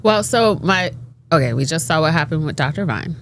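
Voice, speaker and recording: A woman's voice: low voice, expressive, a little fast. A middle-aged woman talking animatedly in a casual group discussion, emphatic and laughing between points. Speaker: panelist.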